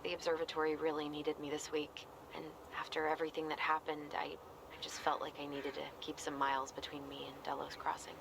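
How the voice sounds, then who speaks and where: A woman's voice: low-pitched, completely flat delivery, a little fast, creaky, noisy background. An actress playing a wry, affectionate young American woman in a video game, chatty and dryly playful. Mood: open, reflective. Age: young adult. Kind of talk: naturalistic video game dialogue.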